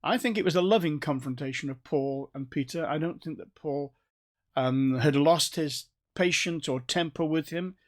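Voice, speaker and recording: A man's voice: a little high, moderate pace. Friendly Staffordshire man teaching scripture. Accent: North Staffordshire English. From England. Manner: conversational, with restarts and gentle asides. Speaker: Bible teacher. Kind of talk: recorded Bible study talk.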